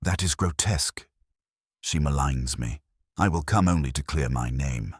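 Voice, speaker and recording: A man acts out an offended, defensive male suspect in an audiobook. He speaks stiffly and formally. The voice is very deep, with a dry sound. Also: a little slow, husky, dry recording, full character voice. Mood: indignant. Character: indignant, defensive man accused of wrongdoing. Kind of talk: audiobook character dialogue.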